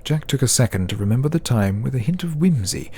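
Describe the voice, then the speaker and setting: A man's voice: medium pitch, animated, fast, darkish tone, a little hiss or hum. A man narrating a scripted fantasy audiobook as a dramatic storyteller.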